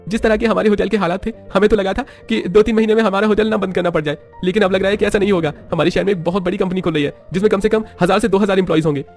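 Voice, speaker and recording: A man's voice: high-pitched, steady tone, rapid-fire, hardly any pauses, darkish tone, soft background music, voice pushed up. A man playing an irritable, boastful young businessman husband in a story, with an exclamatory, scolding manner. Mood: excited.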